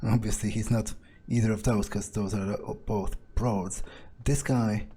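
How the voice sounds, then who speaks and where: A man's voice: fairly low pitch, steady tone, measured pace, warm tone, slightly rough. A man doing in-character commentary as he plays through a game, musing and thinking aloud with some hesitation. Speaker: gameplay host role-playing his character.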